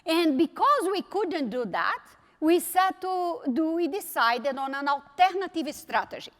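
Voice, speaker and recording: A woman's voice: very high voice, big pitch swings, a little slow. A woman from Brazil with a Brazilian Portuguese accent, giving a conference keynote speech with wry asides and emphatic repetition. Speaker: technology executive keynote speaker. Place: large conference hall. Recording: reverberant hall sound.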